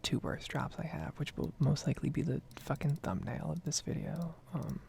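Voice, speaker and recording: A woman speaking in a breathy whisper, rambling casually with some swearing in an ASMR gaming video. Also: low voice, fairly even intonation, moderate pace, dark tone, a little hiss or hum.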